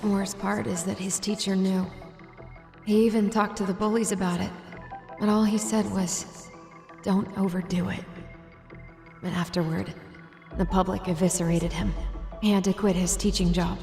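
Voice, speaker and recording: An actress playing a somber woman in a video game, speaking flatly and gravely. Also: mid-range voice, normal speed, long pauses, reverberant, long reverb tail, faint music behind, close to an everyday voice.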